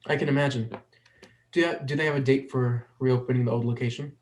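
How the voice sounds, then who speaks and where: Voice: medium pitch, steady tone, brisk, darkish tone, natural voice. An actor playing a polite, reserved nonbinary psychology hopeful in their twenties in a dramatic script reading.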